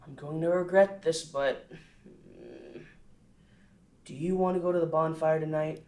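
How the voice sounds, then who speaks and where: A woman's voice: deep voice, steady tone, unhurried, a little mellow. A woman voicing a dry, teasing older brother in a casual table read. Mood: wry. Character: teenage older brother, wry and protective. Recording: roomy sound, away from mic.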